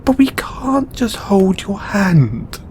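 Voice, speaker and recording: A man acts out a kind god worn down by responsibility. He speaks slowly and haltingly, with earnest, pleading feeling in an intimate roleplay monologue. A faint rumbling noise sits behind the voice. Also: big pitch swings, a little mellow, full character voice. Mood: earnest, sorrowful. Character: kind, overburdened male god, anguished and benevolent. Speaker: audio roleplay voice actor.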